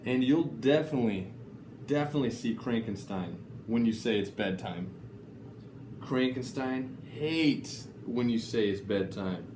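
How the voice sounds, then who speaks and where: A man reads children's picture books at a slow, deliberate pace. His pitch moves up and down in a lively storytelling way, and the room is noticeably echoey. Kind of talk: expressive children's story reading. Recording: echoey room, faint background noise.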